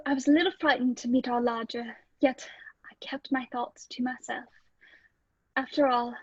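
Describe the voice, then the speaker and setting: Anxious radio-mystery landlady woman performer: high pitch, fretful hesitant narration, dull lo-fi sound. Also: darkish tone, husky, dry recording. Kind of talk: old radio play acting. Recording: dull, lo-fi close recording.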